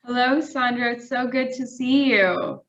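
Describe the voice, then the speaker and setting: A woman's voice: on the high side, a little slow, a bit bright. A woman moderating a video call discussion, friendly and encouraging. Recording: dull lo-fi call audio.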